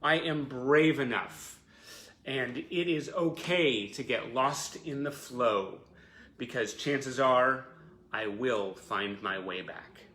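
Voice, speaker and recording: A man's voice: medium pitch, slow pace, fairly bright tone. A man hosting a casual livestream for fans, speaking in an effusive, gushing and encouraging way. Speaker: host of a fan livestream show. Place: indoor room, live online stream. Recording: roomy echo, faint background noise.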